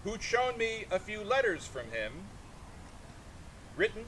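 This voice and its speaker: Male reader for live outdoor literary event: declamatory, broken phrasing, very animated pitch, faint background noise. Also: takes it slowly. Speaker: artist reading aloud and taking questions. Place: outdoors in a sculpture park. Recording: noisy outdoor recording with vehicle rumble.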